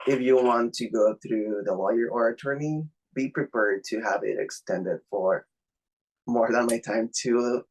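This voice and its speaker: Mexican-accented man guest, mid-pitched, earnest and halting, on a video call. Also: slightly rough, poor audio. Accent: Mexican Spanish. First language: Spanish. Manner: earnest, halting non-native phrasing. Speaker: interview guest.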